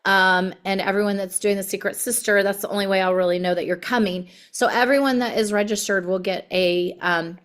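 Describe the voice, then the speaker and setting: A woman with a hoarse, worn voice hosts a video call. Her pitch sits in the middle of the range. She talks in a chatty, easygoing way and fills her sentences with ums. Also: steady tone, brisk, hardly any pauses. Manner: chatty and warm, many ums. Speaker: group leader hosting a video call. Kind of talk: casual announcements on a video call.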